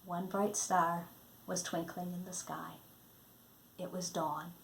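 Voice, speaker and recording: A woman reading a Christmas storybook aloud in an expressive, dramatic way, letting phrase endings fall hushed. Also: on the low side, slow, gravelly, slight background noise, poor audio. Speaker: storybook reader.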